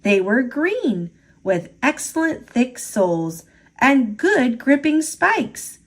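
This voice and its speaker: Lively, unhurried woman reading a storybook. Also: sits high. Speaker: storybook reader. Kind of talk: expressive read-aloud children's storytelling.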